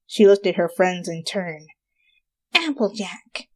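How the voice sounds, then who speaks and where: A woman's voice: on the low side, moderate pace, a little mellow, breathy edge. A woman giving a scripted dramatic reading of a story as its narrator.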